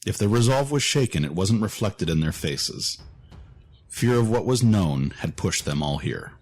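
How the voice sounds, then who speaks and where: Very deep-voiced man narrating a story. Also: expressive, normal speed, a little mellow. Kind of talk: scripted dramatic story narration. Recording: music behind the voice.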